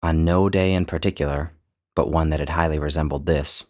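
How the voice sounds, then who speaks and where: A man narrating his own literary short story in a measured, descriptive storytelling manner. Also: extremely low pitch, steady tone, darkish tone. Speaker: author and narrator.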